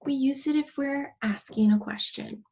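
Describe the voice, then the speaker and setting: A woman teaching a children's English lesson, explaining in simple, deliberate phrases and posing questions to her pupils. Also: sits high, warm tone.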